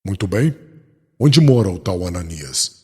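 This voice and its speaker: A man's voice: deep voice, big pitch swings, measured pace, breathy edge, exaggerated voice. A man playing a young religious inquisitor, formal, commanding and coldly cruel, in a dramatized audiobook.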